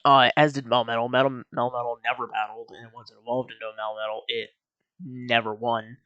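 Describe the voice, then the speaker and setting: A man's voice: medium pitch, little pitch movement, fairly quick, bright, forceful tone, smooth, dry recording, lo-fi sound. Male video host, mid-range voice, informal and opinionated delivery, very dry sound. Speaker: video host giving opinions on an anime series. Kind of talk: casual commentary ranking a cartoon series.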